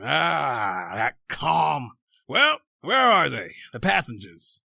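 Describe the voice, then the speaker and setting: A greedy, jovially cruel sea captain in a radio horror play. His high, swooping voice is gleeful and condescending, with a gravelly edge. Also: wildly swooping pitch, takes it slowly, pushed and bright, no room sound, studio quality, heavily acted. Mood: callous glee. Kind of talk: old-time radio horror acting.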